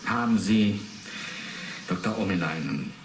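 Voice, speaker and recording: An actor playing a formal, sceptical investigator in a radio drama, matter-of-fact and clipped. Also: male voice, sits low, unhurried. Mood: probing. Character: sober, probing official investigator, formal and sceptical. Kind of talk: 1980s German radio drama acting. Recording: roomy sound, faint noise.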